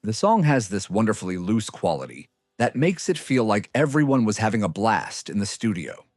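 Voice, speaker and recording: A man's voice: on the low side, sing-song, moderate pace, darkish tone, dry recording, clean studio sound. A man reading a scripted music countdown as its narrator.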